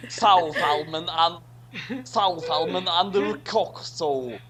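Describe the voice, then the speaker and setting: A man's voice: on the high side, takes it slowly, a crowd in the background. A man hosting a casual group read-aloud, commenting and stumbling over the words as he reads garbled text. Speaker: host of a group fan-fiction reading. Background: background music.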